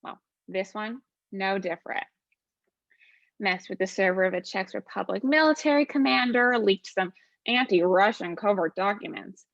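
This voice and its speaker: A woman's voice: medium pitch, moderate pace, gravelly, no room sound, muffled recording, lightly acted. A woman playing a snarky, casual demon who is proud of corrupting humans, in a theatrical comic monologue. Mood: smug, sardonic. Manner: sardonic, casual, digressive.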